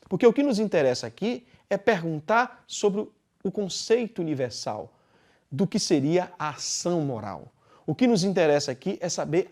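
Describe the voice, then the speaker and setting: A man with a Brazilian Portuguese accent teaching a philosophy class, speaking deliberately and posing questions he then answers himself. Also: on the high side, a little mellow. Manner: deliberate, poses rhetorical questions and answers them. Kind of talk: recorded class lecture.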